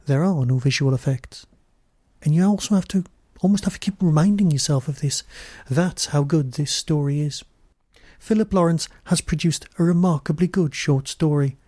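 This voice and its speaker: Male podcast reviewer, higher-set voice with a dark, mellow tone, very dry close sound. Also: dry recording. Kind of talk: semi-scripted podcast review.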